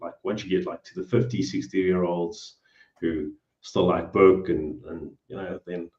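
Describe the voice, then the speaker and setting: A man's voice: low voice, fairly even intonation, unhurried, darkish tone, reverberant, muffled recording. A man with a South African accent, talking as a guest in a casual interview, hesitant and often trailing off with "you know". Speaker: guest, cleaning business owner. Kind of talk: casual video call interview.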